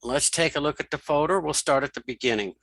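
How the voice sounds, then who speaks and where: A middle-aged man hosting a screen reader software tutorial, informally thinking aloud and repeating key steps. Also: fairly high pitch, quick pace, dry recording. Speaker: tutorial host and web developer.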